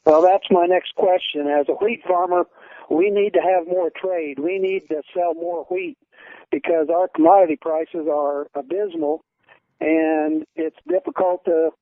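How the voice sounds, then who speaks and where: A man's voice: sits high, measured pace, dry recording. A man with an American Midwestern accent, a farmer calling in to put questions in a plain-spoken, earnest way over the telephone. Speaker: caller, wheat farmer. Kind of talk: phone-in question. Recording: dull muffled telephone line.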